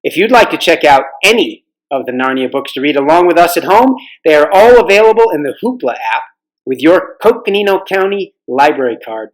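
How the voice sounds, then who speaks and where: A man reading aloud as a volunteer storyteller, with a measured storybook narration style for families. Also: on the high side, animated, poor audio.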